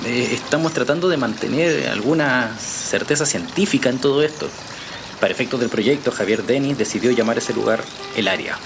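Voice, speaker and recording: A man's voice: mid-range voice, fairly quick, background noise, close to an everyday voice. An actor with a Chilean accent playing a casual, slightly hesitant journalist who explains his research in a naturalistic audio drama. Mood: earnest. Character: easygoing male journalist, hesitant but convinced researcher.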